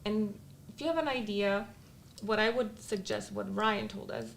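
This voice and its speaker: Polish lady w/ accent, bit of fry in her voice, chatty podcast guest, super candid. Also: medium pitch, moderate pace, a little hiss or hum. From Poland. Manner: candid, laughs often. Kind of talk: casual chat.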